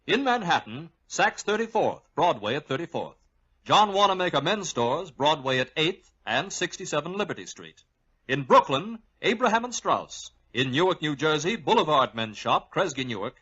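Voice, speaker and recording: A man's voice: a little high, very animated, normal speed, fairly bright tone, husky. A male local sponsor announcer reading out a list in 1940s radio advertising style. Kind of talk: 1940s radio ad read, listing. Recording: dull vintage radio recording.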